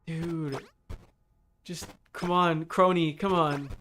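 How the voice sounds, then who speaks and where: A man's voice: on the high side, steady tone, slow, fairly bright tone, slight background noise. A man live streaming a game, talking casually and bluntly with exasperated, sweary asides. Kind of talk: casual gaming stream chat. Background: game music.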